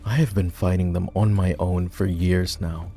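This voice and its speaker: Proud, bitter war-hero knight for fantasy roleplay ASMR, male actor; lowish pitch, sardonic delivery, soft music underneath. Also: fairly even intonation, normal speed, slightly rough. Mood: sardonic, impatient. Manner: scornful, dramatic, sardonic.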